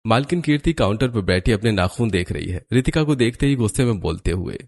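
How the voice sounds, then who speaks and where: A man's voice: on the low side, animated, brisk. A man narrating a scripted cartoon story.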